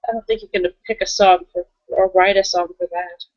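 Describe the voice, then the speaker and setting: A woman's voice: lowish voice, level tone, fairly quick, natural voice. A woman playing a young, candid werewolf in an improvised roleplay, casual and blunt as she questions things. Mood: casual, questioning. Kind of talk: improvised in-character roleplay conversation. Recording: dull lo-fi voice recording.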